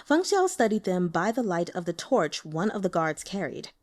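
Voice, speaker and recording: A woman's voice: fairly low pitch, big pitch swings, normal speed, a little mellow. A woman reading a novel aloud as scripted narration. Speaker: audiobook reader.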